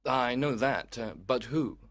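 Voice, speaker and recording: A man's voice: mid-range voice, takes it slowly, a bit bright. A man playing a loyal, concerned young cousin in a storybook, with an earnest, inquisitive manner. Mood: coaxing. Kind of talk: storybook dramatization.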